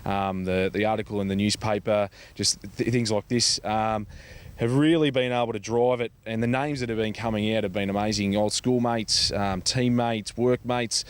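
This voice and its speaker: A man with an Australian accent answering interview questions in a halting, conversational way, often repeating words. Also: on the low side, fairly even intonation, clean tone. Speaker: charity foundation representative being interviewed.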